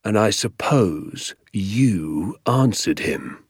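Furious, barking military schoolmaster. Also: male voice, on the low side, animated, breathy edge, no echo, studio quality, heavily acted. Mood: quietly menacing. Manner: barking, menacing, drawn-out emphatic delivery. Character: furious bullying schoolmaster, old military captain, barking contemptuously.

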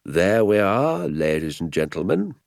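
Male reader as elderly village doctor, British, genial, knowing, animated intonation, audiobook character. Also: deep voice, unhurried, creaky, no room sound, studio quality, exaggerated voice. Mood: delighted. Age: older. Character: genial, wrinkled old male village doctor, quietly triumphant.

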